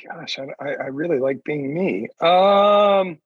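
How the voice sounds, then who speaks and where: A man guesting on a podcast, chatting casually in a halting, hesitant way. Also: on the high side, breathy edge, no room sound. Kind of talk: casual chat. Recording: dull, band-limited audio.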